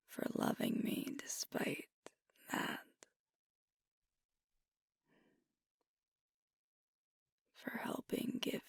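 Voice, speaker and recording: A woman playing a sweet, self-critical werewolf pack leader in an intimate ASMR roleplay, breathy and often whispering, speaking hesitantly and tenderly. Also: no room sound, clean studio sound. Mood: vulnerable, grateful. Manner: hesitant, tender, earnest, with long pauses.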